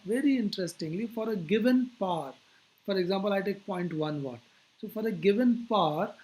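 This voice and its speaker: A physics teacher giving an explanatory lecture, phrasing things deliberately and posing rhetorical questions. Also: mid-range voice, a little mellow, rough recording.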